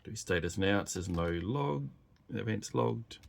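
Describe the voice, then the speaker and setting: A man's voice: fairly low pitch, expressive, unhurried, creaky. A man giving a casual live technical demonstration as a developer, thinking aloud hesitantly while he works and types. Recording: noisy room with keyboard clicks. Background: typing and clicking at a workbench keyboard.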